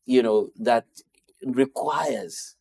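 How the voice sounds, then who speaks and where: A man's voice: mid-range voice, fairly even intonation, drawn-out words, vocal fry, warm tone. A man co-hosting a studio talk show, questioning the guest in a conversational tone. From Nigeria. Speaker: co-host.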